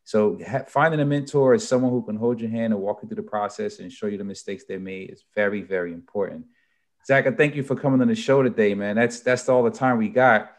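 A man hosting a podcast, thanking his guest and wrapping up the interview in a relaxed, friendly way. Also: on the low side, a little fast. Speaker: podcast host.

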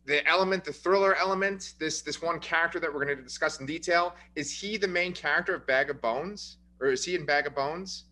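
A man's voice: a little high, a little fast, hardly any pauses, pushed and bright. A man hosting a casual movie review podcast, upbeat and chatty, recapping the plot with frequent fillers. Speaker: podcast host.

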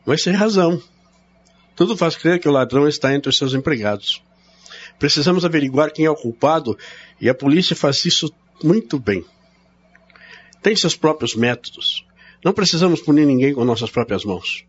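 A stern, husky Brazilian man. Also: on the high side, animated, moderate pace, pauses often, lo-fi sound. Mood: scornful, insistent. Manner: emphatic, declamatory, commanding. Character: stern, unyielding brother-in-law hunting a household thief. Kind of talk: radio drama acting.

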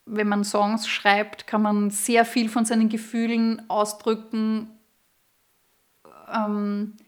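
A woman's voice: mid-range voice, completely flat delivery, slow. Austrian singer lady in her 30s, guest on a podcast, talks freely, lots of ums. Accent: Austrian German. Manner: candid, with frequent fillers and restarts. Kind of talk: casual podcast interview.

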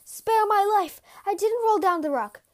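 Woman acting out a loud, fierce, blustering swindler. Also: sits high, lively intonation, fast. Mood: frantic, pleading. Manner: shouting, blustering. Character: fierce, greedy male swindler, bullying and loud.

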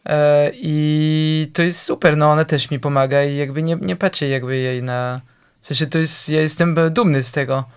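A man, a guest musician in a casual interview, who speaks haltingly and leans on lots of fillers. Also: on the high side, steady tone, clean tone. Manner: hesitant, halting, heavy use of fillers.

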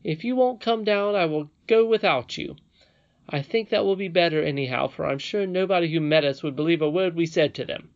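A woman's voice: fairly low pitch, fairly quick, a little mellow, muffled recording, pitched above the performer's own voice, lightly acted. A woman voicing a proud, stubborn young boy in a storybook, blunt and sulky. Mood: offended, defiant. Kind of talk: storybook character reading.

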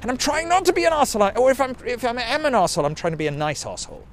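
A man's voice: very high-pitched, big pitch swings, rapid-fire, slight background noise. A middle-aged man from South Africa with a South African accent, rambling profanely and self-mockingly in a casual recorded message. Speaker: video message maker. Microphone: handheld recorder.

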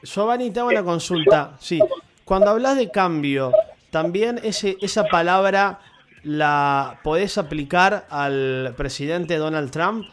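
A man interviewing a guest in a measured way, with drawn-out hesitations. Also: high voice, expressive, a bit bright, slight background noise. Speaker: interviewer, radio host. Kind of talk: radio interview.

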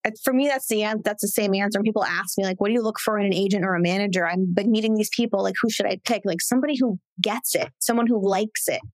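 A woman's voice: medium pitch, quick pace, few pauses, no echo, poor audio. A woman, a TV showrunner, talking frankly and casually as a podcast guest, swearing now and then. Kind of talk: candid casual conversation.

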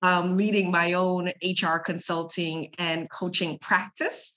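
A woman's voice: on the low side, level tone, unhurried, sharp tone. A woman in her forties from Trinidad and Tobago, speaking conversationally as an interview guest. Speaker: podcast guest, HR consultant and coach. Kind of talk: conversational interview, introducing herself.

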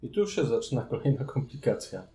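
A man's voice: mid-range voice, very animated, fast talker, warm tone, reverberant, faint music behind. A man co-hosting a board game podcast, chatting casually and adding his own comments. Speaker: podcast co-host.

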